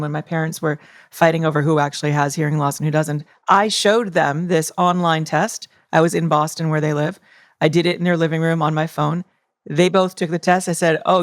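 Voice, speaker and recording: A woman's voice: low voice, no room sound, studio quality. Chatty woman podcast co-host. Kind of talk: casual podcast interview.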